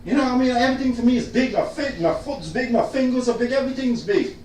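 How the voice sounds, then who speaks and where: A man with a fairly high voice talks loudly and insistently, going back to the same complaints again and again. He is a little away from the mic in a very echoey room with faint noise behind him. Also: animated, fast talker, far from the mic. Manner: loud, insistent and repetitive. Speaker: client complaining about his solicitor. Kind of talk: casual venting monologue.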